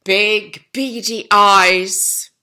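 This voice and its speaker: A woman's voice: mid-range voice, steady tone, very slow, sharp tone. A woman storyteller reading expressively to young children, with chatty questions and asides to them. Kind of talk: animated storybook narration with asides to listeners.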